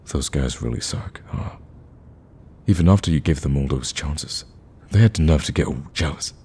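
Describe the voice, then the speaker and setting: Lovestruck male villain, played by a man for ASMR romance: rock-bottom pitch, soft rasp, hesitant and tender with a cocky edge. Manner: hesitant, stammering, intimate.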